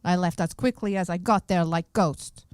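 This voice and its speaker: A woman's voice: fairly low pitch, steady tone, moderate pace, darkish tone, smooth, dry recording, lightly acted. A woman acting a brooding, mysterious fairy-tale witness in a comic radio play, ominous and melodramatic.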